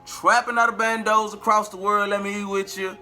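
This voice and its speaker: An American man telling a story in a casual, slangy vlog style, chatty and animated, with drawn-out emphasis and repetition. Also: sits high, faint music behind. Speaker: vlogger and amateur singer.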